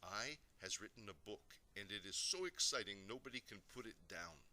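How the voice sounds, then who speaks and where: A man voicing a boastful, excitable young boy telling his dreams in childlike, ungrammatical speech for a storybook. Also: lowish voice, normal speed.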